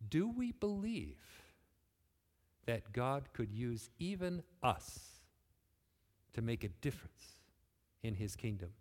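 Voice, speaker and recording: A man from West Michigan preaching a sermon in a church, earnest, pushing phrases up and then letting them fall quiet. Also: medium pitch, very animated, takes it slowly, long pauses. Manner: earnest, rising and falling emphasis, quiet closing phrases. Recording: long hall reverb.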